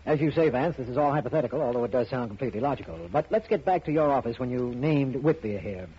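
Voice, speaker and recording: A dull vintage radio recording carries a sober, practical official. He speaks quickly in a husky voice, formal and matter-of-fact. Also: medium pitch, animated. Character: sober, practical official, the detective's loyal associate. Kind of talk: 1950s radio detective drama acting.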